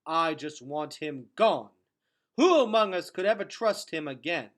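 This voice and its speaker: A man voicing an angry, scornful councilwoman in a storybook, emphatic and forceful. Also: fairly high pitch, lively intonation, a little slow, pitched above the performer's own voice.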